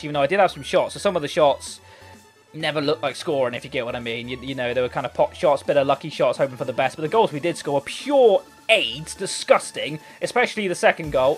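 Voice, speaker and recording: A hoarse young man calls his football video game match, muttering low through quiet spells and bursting into shouts at every chance. Light background music runs under his voice. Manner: excitable, swings from muttering to shouting. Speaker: gaming commentator. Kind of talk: live game commentary. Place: hot bedroom.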